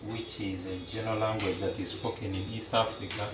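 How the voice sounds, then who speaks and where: A man, a Luganda speaker, chatting casually to viewers as he cooks in a home kitchen, slow and repetitive. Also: lowish voice, level tone, roomy sound, distant mic, heavy background noise. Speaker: cooking vlogger. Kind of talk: casual live-stream chat.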